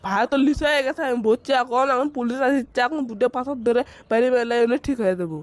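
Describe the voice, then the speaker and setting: A man doing a very high-pitched cocky cartoon nephew. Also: fast, no echo. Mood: alarmed. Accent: northern Bangladeshi. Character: brash young nephew, cocky little troublemaker. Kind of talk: comic cartoon dialogue in rural dialect.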